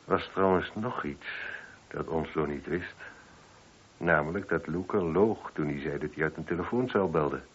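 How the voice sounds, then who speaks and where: An actor playing a composed, probing police inspector in a radio play, speaking in a measured, formal way. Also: male voice, a bass voice, breathy edge. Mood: explanatory, assured. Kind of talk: Dutch radio play acting. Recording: dull, muffled old radio recording.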